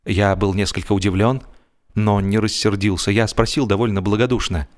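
A man performing a genial, wry gentleman who tells a humorous story in a measured, theatrical, expressive way. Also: on the low side, close to an everyday voice. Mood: wry, mock-dignified. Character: genial, wry, musing gentleman narrator of a comic tale. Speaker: audiobook narrator and actor. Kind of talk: dramatized first-person humorous story narration. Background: music.

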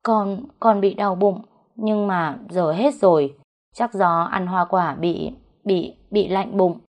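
A woman's voice: medium pitch, moderate pace, a little mellow, close to an everyday voice. A woman voicing a scheming young pregnant woman who whines, stammers and pleads tearfully, in a story narration. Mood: nervous, evasive. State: crying.

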